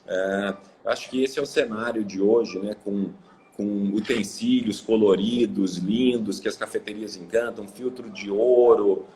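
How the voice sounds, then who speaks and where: Low-voiced brazilian man rambling on a call. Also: steady tone, unhurried, warm tone. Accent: Brazilian Portuguese. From Ribeirão Preto, Brazil. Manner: rambling, many fillers and restarts. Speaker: guest, coffee exporter. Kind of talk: casual live interview. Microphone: video call.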